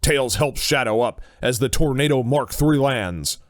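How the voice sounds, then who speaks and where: A man's voice: medium pitch, a little slow. A man reading scripted narration for an audio drama.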